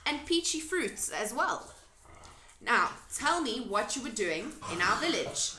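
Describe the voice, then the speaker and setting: A curious little girl from a children's story, as a woman reads her, plucky and politely insistent. The pitch is high and leaps about, with a bright tone. The room adds an audible echo. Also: big pitch swings, unhurried, full character voice. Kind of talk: storybook character reading.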